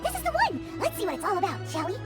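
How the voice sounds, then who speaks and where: A tiny childlike fairy companion from a video game. The voice is squeaky and sky-high, swooping with perky excitement and rattling along quickly over loud music. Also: female voice, exaggerated voice. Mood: cheerful. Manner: perky, speaks of self in third person. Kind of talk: video game character acting.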